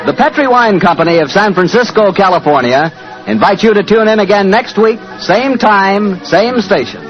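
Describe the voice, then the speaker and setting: A man's voice: high voice, animated, normal speed, music in the background. A man with an American accent, announcing and reading sponsor copy as a 1940s radio host. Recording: dull lo-fi 1940s radio recording.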